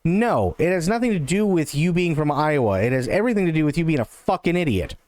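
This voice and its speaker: A man with a slightly higher voice rants and scoffs at a showcase stream, cursing freely and picking things apart. His voice comes across smooth and clear. The mic is close and dry, with no room sound. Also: big pitch swings, fast talker, darkish tone, no echo. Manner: sarcastic ranting, mocking voices of others. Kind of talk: profane live-stream commentary.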